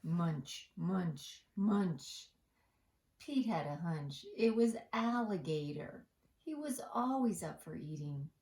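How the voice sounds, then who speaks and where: A woman reading a picture book to young children as storytime host, singsong and deliberate, with asides to the listeners. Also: fairly low pitch, lively intonation, a little mellow. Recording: echoey room.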